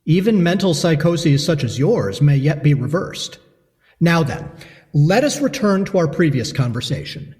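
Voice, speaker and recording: A man's voice: fairly high pitch, big pitch swings, unhurried, a little mellow, big-room sound. A man playing a pompous asylum doctor. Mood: condescending. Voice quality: formal, condescending, precise diction. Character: pompous male asylum doctor, cold and clinical. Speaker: asylum alienist.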